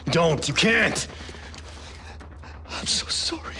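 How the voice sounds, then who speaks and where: An actor playing a frightened male government official held hostage in a video game, speaking in a strained, halting, pleading way. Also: a little high, lively intonation, vocal fry, noisy background, exaggerated voice. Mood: broken, remorseful. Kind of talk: cinematic video game acting. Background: loud dramatic music.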